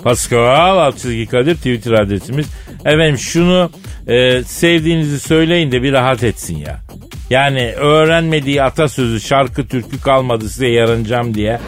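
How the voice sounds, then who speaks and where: A man's voice: medium pitch, animated, normal speed. Male radio host, ordinary mid-range voice, colloquial teasing banter, light music underneath. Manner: teasing, mock-scolding, colloquial. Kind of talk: comic radio banter and interviewing. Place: radio studio. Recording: studio voice over background music.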